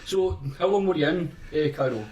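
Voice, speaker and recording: A man, a podcast host asking relaxed interview questions. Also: on the high side, brisk, echoey room, recorded from a distance, highs cut off, a crowd in the background.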